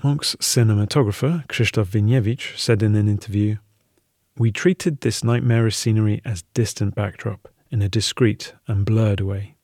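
A man's voice: on the low side, fairly even intonation, moderate pace, darkish tone, no room sound, studio quality. A man reading scripted documentary narration, quotation included, as a narrator.

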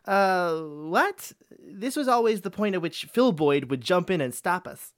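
Woman acting a low-voiced, unhurried author. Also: animated. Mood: obliging. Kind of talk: scripted radio comedy acting.

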